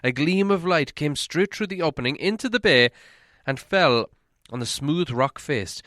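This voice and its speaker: A man's voice: fairly high pitch, expressive, normal speed, fairly bright tone, no echo, clean studio sound. A man reading a story aloud as a dramatic audiobook narrator.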